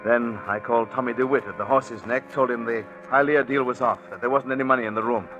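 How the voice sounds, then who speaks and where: Bright, tense swindler in vintage radio suspense, played by a man. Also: medium pitch, steady tone, fast talker, natural voice. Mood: tense, confiding. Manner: confiding first-person storytelling, polite in dialogue. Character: scheming, smooth-talking male swindler confessing his long-shot con. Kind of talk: 1940s radio suspense acting. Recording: dull 1940s radio recording, music behind.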